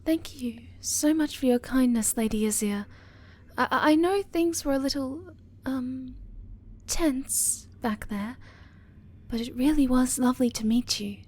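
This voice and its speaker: A woman plays a dainty moth girl of fantasy audio roleplay, sweet and shy. Her voice is high and airy, and she stammers politely, hesitating as she goes. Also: measured pace, a little mellow. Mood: shy, grateful. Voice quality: high, breathy. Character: small, timid, sweet, protective female moth girl. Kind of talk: ASMR fantasy roleplay acting.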